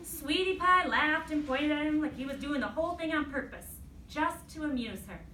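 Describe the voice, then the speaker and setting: A woman with a bright, fairly high voice teaches through a read-aloud, speaking in a deliberate, instructive way. She checks in with her listeners as she goes. The recording is roomy and distant, with faint noise. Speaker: elementary school teacher.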